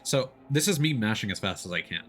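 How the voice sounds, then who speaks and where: A man's voice: lowish voice, very animated, fairly quick, over music. A man giving casual gameplay commentary on a stream, thinking aloud in a hesitant way as he plays. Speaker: livestreamer, gamer. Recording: clear game noise behind. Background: video game sounds.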